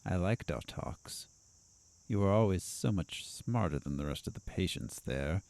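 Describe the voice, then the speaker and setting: An actor playing a sardonic asylum doctor in an audio drama, probing and needling in a confrontational way. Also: male voice, sits low, animated, unhurried, gravelly, a little mellow, no room sound, full character voice.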